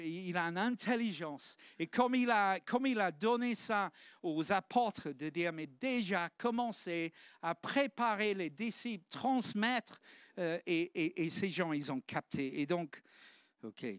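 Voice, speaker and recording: High-voiced preacher in his fifties. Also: expressive, slow, smooth, dry recording, studio quality. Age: 50s. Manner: earnest, repetitive restarts, exclamatory. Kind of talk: sermon. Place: church.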